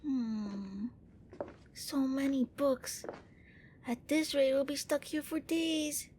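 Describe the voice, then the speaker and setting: A woman playing a gentle, bookish young vampire prince in an ASMR roleplay, speaking with hesitant, earnest courtesy. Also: high voice, slow pace, slight background noise. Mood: musing. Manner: hesitant, politely fussy, earnest.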